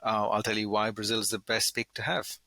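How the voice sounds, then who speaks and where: A man's voice: lowish voice, completely flat delivery, brisk, creaky, sharp tone, no room sound. A man co-hosting a casual gaming podcast, handing out tips in an emphatic, instructive way. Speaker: podcast co-host giving game tips. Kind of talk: casual gaming advice chat.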